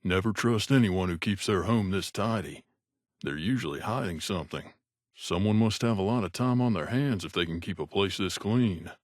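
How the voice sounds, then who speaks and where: An actor playing a wry, gruff mercenary companion in a video game, speaking in a conversational, grumbling way. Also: male voice, low-pitched, moderate pace, no echo, clean studio sound, close to an everyday voice. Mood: wary grumbling. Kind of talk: game character voice lines.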